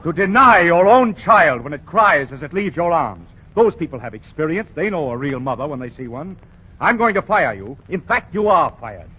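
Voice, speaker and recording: An actor playing an indignant, self-righteous department store boss who lectures emphatically in a 1940s radio comedy. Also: male voice, a little high, very animated, normal speed, breathy edge. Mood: indignant scolding. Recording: dull lo-fi 1940 radio recording.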